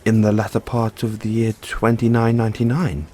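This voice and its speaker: A man playing an excitable, affectionate mad scientist boyfriend in an intimate audio roleplay, musing haltingly to himself and his partner. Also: on the low side, a little hiss or hum, close to an everyday voice. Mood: amused, musing. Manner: musing, halting, chuckling asides, drawn-out reactions.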